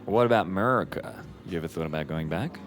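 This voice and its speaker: An actor as an attentive, friendly young man from Australia in an audio drama. His voice is low, and he talks casually with gentle hesitation. Music plays clearly behind him. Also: quick pace, lightly acted. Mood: friendly, curious. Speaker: actor playing the love interest.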